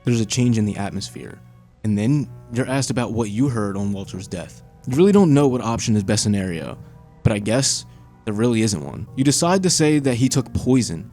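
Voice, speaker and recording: Low, mellow male storyteller over music. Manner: suspenseful second-person storytelling. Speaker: narrator of a video essay on horror games. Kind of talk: scripted storytelling narration.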